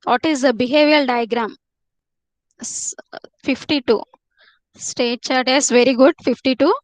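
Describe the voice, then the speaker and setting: A woman teacher leads a live online class, her voice sitting high. She repeats herself often and coaxes students to answer. The sound is dry and close, picked up by a computer mic. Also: fairly even intonation, a little slow, no echo. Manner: repetitive, coaxing students to answer.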